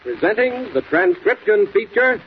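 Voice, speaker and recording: A man's voice: high-pitched, very animated, normal speed. A man narrating a 1940s radio serial as a grand, theatrical announcer. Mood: heraldic. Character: solemn, dramatic male radio serial announcer. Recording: hissy, dull old radio transcription.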